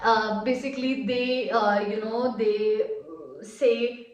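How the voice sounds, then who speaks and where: Indian woman, higher voice, echoey room. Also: takes it slowly. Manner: hesitant, many fillers, laughs softly. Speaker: reaction video co-host. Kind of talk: casual reaction commentary. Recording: echoey room, distant mic.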